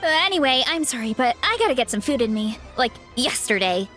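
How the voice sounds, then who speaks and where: A high-pitched young woman athlete from a video game. She is easygoing yet eager and hearty, with very lively pitch movement. Soft game music sits behind her. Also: a little fast. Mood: hungry, urgent. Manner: laid-back, breezy, eager.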